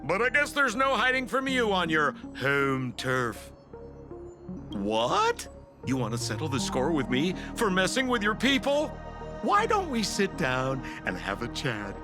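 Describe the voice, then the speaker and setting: A man's voice: sits high, wildly swooping pitch, a little slow, heavily acted. A man voicing a playful, slyly polite trickster in a video game, with a theatrical, teasing delivery. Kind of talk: dramatic video game voice acting. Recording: loud music behind the voice. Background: loud background music.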